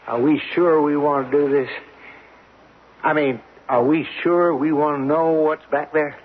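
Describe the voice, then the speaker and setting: Nervous, higher-voiced expedition assistant. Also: male voice, steady tone, a little slow. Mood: uneasy, obedient. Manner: hesitant, plain-spoken, uneasy. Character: nervous, deferential male assistant to an underground explorer. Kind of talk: radio mystery drama acting. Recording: tinny, band-limited old radio recording, faint noise.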